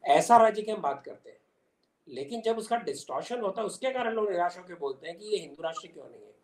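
A man's voice: high voice, expressive, quick pace. An older man giving emphatic, explanatory answers in a political interview, mixing in English terms. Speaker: interview guest, commentator. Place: small indoor room at home. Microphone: video call device. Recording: echoey room on video call.

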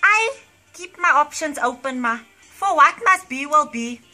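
A woman's voice: high-pitched, lively intonation, unhurried, bright, forceful tone, soft background music, heavily acted. A woman playing an easygoing young student puppet in an amateur puppet show. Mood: unsure but accepting.

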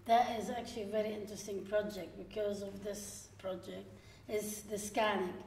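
A woman in her forties from Lebanon, a filmmaker guest at a live panel, telling stories in a lively way with quoted asides. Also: medium pitch, normal speed, a little hiss or hum. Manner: lively storytelling with frequent laughs and quoted asides. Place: gallery room with seated audience. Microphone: stand mic at a distance. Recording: echoey room from a stand mic.

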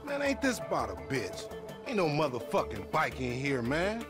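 A man's voice: fairly high pitch, huge pitch swings, moderate pace, over music. A man playing a boastful young hustler in a video game, brash and slangy, always trash-talking. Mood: teasing, irritated. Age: young adult. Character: cocky, loudmouthed young street hustler, boastful and teasing. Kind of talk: video game cutscene acting.

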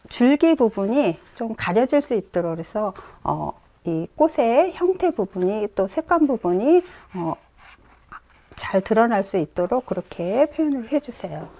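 A middle-aged woman giving a calm flower arranging lesson in a studio, pausing often as she works. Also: fairly high pitch. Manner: calm instructive, many "eo" pauses while working. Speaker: floral design instructor. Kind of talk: step-by-step demonstration lesson. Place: bright indoor studio.

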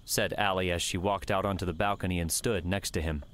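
A man's voice: sits low, steady tone, brisk, fairly bright tone. A man narrating a scripted story in the third person as an audiobook reader.

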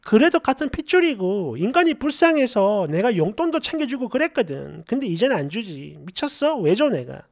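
Middle aged guy reading online stories, high pitched and all over the place, exasperated chatty asides. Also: sing-song, moderate pace, gravelly, hardly any pauses, dry recording, studio quality. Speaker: story channel host. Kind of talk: animated reading of a first-person online story with asides.

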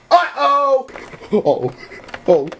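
A man acting an excitable, mischievous hero in an amateur comedy short, exclaiming theatrically over noisy sound. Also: extremely high pitch.

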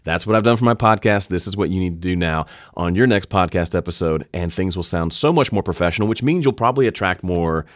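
A man in his thirties with a slightly nasal voice, explaining podcast audio steps in a friendly, even tone, recorded close on a studio mic in his home studio. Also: sits low, fast talker, hardly any pauses, no echo. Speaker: audio tutorial host. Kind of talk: screen-recorded software tutorial. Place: home studio with keyboards and guitars. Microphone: studio condenser mic close up.

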